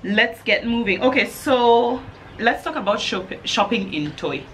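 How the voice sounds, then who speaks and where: A woman's voice: a little high, lively intonation, a little slow, fairly bright tone. A woman, hosting a casual chat in a playful, teasing way. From Kenya. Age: 30s. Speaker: host.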